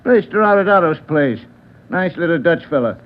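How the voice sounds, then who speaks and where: A man plays a chatty union official who brushes questions off with offhand, clipped phrases. His voice is a bit high for a man. It sounds like a vintage radio crime drama, thin and tinny. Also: animated, moderate pace, close to an everyday voice.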